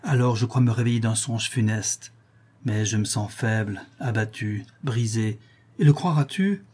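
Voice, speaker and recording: A man reading an audiobook, voicing a blind, brutal old male murderer who is haunted by his crimes and speaks with brooding, hushed menace. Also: lowish voice, unhurried, breathy edge. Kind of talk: audiobook reading of a classic novel.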